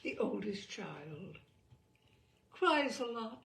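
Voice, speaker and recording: A woman reading a darkly comic story in a theatrical, deliberate, dramatic manner. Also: medium pitch, big pitch swings, gravelly, roomy sound. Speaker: storyteller, reader.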